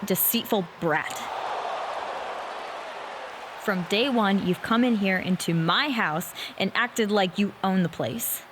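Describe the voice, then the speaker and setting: A woman's voice: mid-range voice, a bit bright. An indignant lady wrestler in a game, emphatic promo talk, bit of noise behind her. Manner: accusatory, emphatic delivery. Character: indignant female pro wrestler hosting an interview segment. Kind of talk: scripted sports-entertainment promo.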